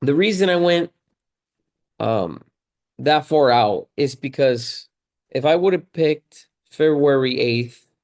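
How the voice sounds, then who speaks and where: A man with a slightly hoarse voice from a cold, talking casually to viewers in a tutorial, conversational and repeating key points for emphasis. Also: medium pitch, animated, slow, darkish tone. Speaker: video creator and car rental host.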